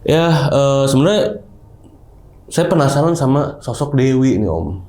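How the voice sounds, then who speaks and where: A young man hosting a casual horror-story podcast interview in a studio, chatty and relaxed. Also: mid-range voice, unhurried. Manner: relaxed, chatty, uses Jakarta slang. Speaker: podcast host.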